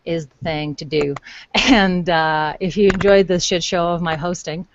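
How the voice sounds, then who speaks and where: A woman's voice: on the low side, steady tone, normal speed, background noise. A woman hosting a casual podcast, rambling with many fillers and restarts over a video call. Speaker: podcast host. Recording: dull, dry lo-fi call audio.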